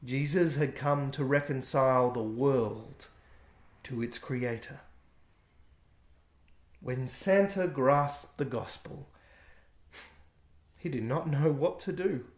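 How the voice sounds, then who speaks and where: A man reading aloud his own rhyming picture book in a gentle, expressive way. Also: medium pitch, takes it slowly, long pauses. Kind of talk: rhyming storybook narration. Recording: echoey room.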